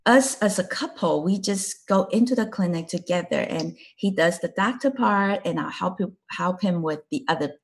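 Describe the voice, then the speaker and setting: A woman's voice: fairly low pitch, normal speed, few pauses, breathy edge. A middle-aged woman from Taiwan, a native Chinese speaker, chatting as a guest and telling her story with a laugh now and then. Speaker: guest, clinic co-owner. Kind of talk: casual interview chat.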